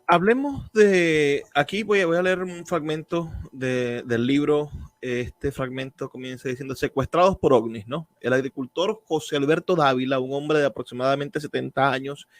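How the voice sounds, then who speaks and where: A man hosting a radio interview, calmly reading an excerpt aloud and asking questions. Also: on the high side, normal speed, no echo. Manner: calm, reads an excerpt then asks questions. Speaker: radio show host, interviewer.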